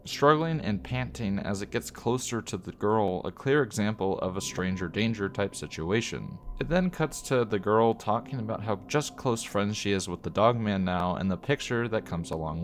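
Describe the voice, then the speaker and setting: A man reading a scripted video review as an essayist, in an earnest way with emphasis on key words. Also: lowish voice.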